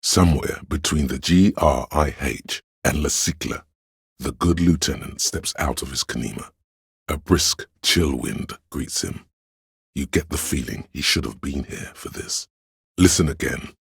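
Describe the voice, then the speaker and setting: Very deep, gravelly inner voice. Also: male voice, dry recording, studio quality, full character voice. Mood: brooding, hushed. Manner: measured, brooding, literary delivery. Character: inner voice of police instinct, solemn, brotherly, watchful. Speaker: video game voice actor, narrator. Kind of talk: scripted second-person game narration.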